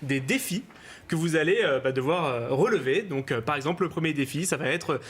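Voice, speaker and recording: A man, a talk show guest, explaining how something works and listing examples briskly. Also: on the high side, animated, breathy edge. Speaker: app creator, guest.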